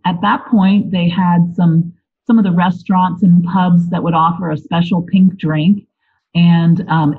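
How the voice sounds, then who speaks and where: A woman's voice: fairly low pitch, breathy edge. A woman from Pennsylvania, a volunteer guest, chatting casually and telling a story in an interview. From Lebanon, Pennsylvania. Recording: tinny phone-like line.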